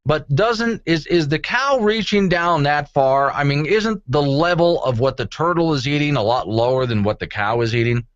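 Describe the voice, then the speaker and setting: A man's voice: a little high, normal speed, few pauses, no echo, dull audio. A man hosting a call-in radio talk show, chatting conversationally with wry, joking asides. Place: radio studio.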